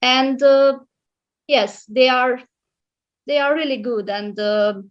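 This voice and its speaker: A middle-aged woman talks slowly and haltingly in a slightly high voice, pausing on fillers and restarting her sentences. The audio is muffled and lo-fi, like a video call. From Romania. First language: Hungarian. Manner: hesitant, many fillers and restarts. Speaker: guest, school librarian and private English tutor. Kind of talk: casual interview chat.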